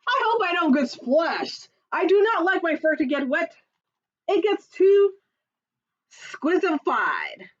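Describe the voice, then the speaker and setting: A woman voicing a polite, fussy male sasquatch who hates getting his fur wet, in a high, prim voice. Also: very animated, takes it slowly, highs cut off, heavily acted.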